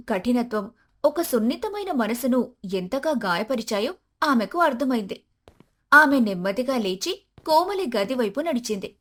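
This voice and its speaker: A woman narrating a scripted family moral story as a storyteller. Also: fairly high pitch.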